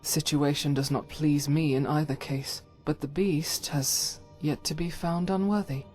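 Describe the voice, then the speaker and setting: A woman's voice: low-pitched, steady tone, unhurried, a little mellow, soft background music. A woman playing a devout, stern warrior companion in a fantasy game, speaking solemnly in formal, archaic phrases. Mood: conflicted, solemn.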